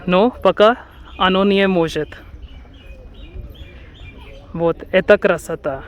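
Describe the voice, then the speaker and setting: A man's voice: sits high, takes it slowly, clean tone, lo-fi sound. A man from India, narrating a walking tour outdoors in a lakeside park, careful and dreamy, often correcting himself. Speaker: travel vlogger.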